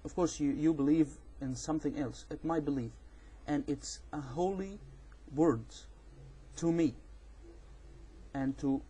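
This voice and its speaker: A man with an Egyptian accent, a native Arabic speaker, giving an informal explanatory rebuttal in a halting, repetitive, insistent way. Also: a little high, mellow, slightly rough. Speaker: amateur video responder on religion.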